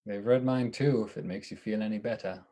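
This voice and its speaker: A woman playing an earnest, stern and excitable male asylum doctor in a radio play. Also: a bass voice, brisk.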